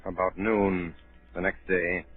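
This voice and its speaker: An actor playing a man who narrates a boyhood adventure in a measured, theatrical 1930s radio style. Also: deep voice, flat delivery, slightly rough, faint music behind, full character voice. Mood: grave storytelling. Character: grown male narrator recalling a boyhood adventure. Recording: tinny old 1930s radio broadcast.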